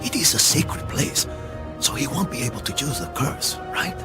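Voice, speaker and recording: An actor playing a loyal, wry Spanish mercenary with a Spanish accent and a gravelly voice in a video game. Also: male voice, sing-song, normal speed, lightly acted. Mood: doubtful. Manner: familiar, wry, peppered with Spanish words. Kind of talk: dramatic video game cutscene acting. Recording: music and rumble behind.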